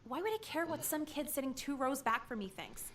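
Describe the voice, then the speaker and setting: A woman voicing a cynical, foul-mouthed teenage schoolgirl in a visual novel game, sarcastic and deadpan. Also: high-pitched, fairly quick, a little hiss or hum. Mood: sardonic.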